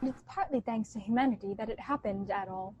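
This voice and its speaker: A woman's voice: medium pitch, a little fast, a little mellow, husky, no echo, dull audio. A female student actor reading and redoing lines for a radio play.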